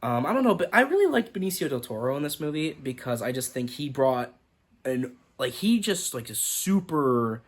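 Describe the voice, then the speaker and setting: Young dude rambling thru a movie review, lots of likes and um, mid pitch. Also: male voice, big pitch swings, moderate pace. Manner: rambling, lots of fillers and restarts. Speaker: film reviewer. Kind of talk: casual spoken movie review.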